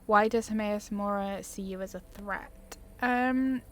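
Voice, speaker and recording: A woman's voice: medium pitch, fairly even intonation, measured pace. A woman giving casual, enthusiastic gameplay commentary, chatting informally and easily sidetracked. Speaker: gaming video creator. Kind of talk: casual chatty gameplay commentary, reading game dialogue options aloud.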